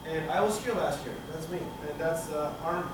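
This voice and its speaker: A middle-aged man from Turkey talks through his slides at a tech conference, pausing often and restarting his sentences. His voice sits a bit high and comes from across the room. Heavy reverb and steady room noise surround him. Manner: halting, many fillers and restarts. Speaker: conference speaker. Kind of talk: technical conference talk. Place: conference room with projector screen. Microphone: room camera from the back of the hall. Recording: echoey hall, speaker far from mic, room noise.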